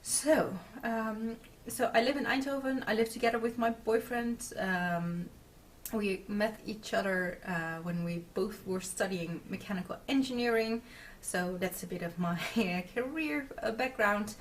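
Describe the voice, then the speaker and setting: A Dutch woman in her twenties chats casually to camera in English, her second language, scattering ums and soft giggles. Her voice sits at a middling pitch. She is recorded at home on a mic set a little away from her, with a faint hiss behind. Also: measured pace, far from the mic. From Netherlands. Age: 20s. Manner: chatty, many filler words and giggles. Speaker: knitting podcast host. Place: small room at home, indoors.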